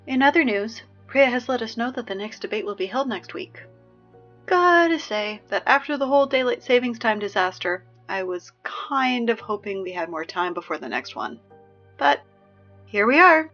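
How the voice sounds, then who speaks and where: A young woman playing a wry, peppy teenage girl who reads high school announcements in a chatty, sardonic, emphatic way for a scripted audio drama. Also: very animated, normal speed, fairly bright tone, dull audio, soft background music, exaggerated voice. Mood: wry, upbeat.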